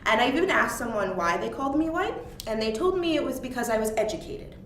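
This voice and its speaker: A young woman answers interview questions thoughtfully and pauses on ums and uhs. She sits a little back from the mic in a roomy indoor space. A faint hiss sits under her voice. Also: a little high, expressive, far from the mic. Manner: reflective, frequent ums and uhs.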